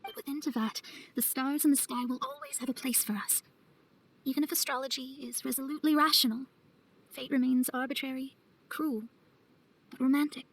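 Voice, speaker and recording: A woman voicing an earnest, wistful young astrologer in a video game, speaking in a measured, theatrical way. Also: high voice, steady tone, fairly bright tone, low-quality recording. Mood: solemn, tender. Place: studio, with music behind.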